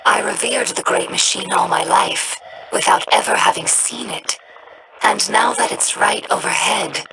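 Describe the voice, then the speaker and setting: A young alien scavenger girl with a gravelly, slightly low voice. She talks slowly and thoughtfully, earnest and reflective, like a video game character sharing her people's history. Clear ambient noise hums behind her. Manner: calm, measured, thoughtful.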